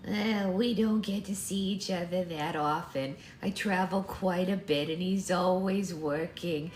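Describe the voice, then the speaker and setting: Lady doing a sweet little old grandma from a storybook, drawn out and gentle, echoey room. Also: on the low side, measured pace, lightly acted. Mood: friendly, offering. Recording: roomy echo, faint background noise.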